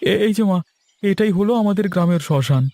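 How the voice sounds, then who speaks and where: Man as a high-pitched, timid village man. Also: animated, normal speed, dark tone. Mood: polite, nervous. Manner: hesitant, stammering. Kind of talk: cartoon voice acting.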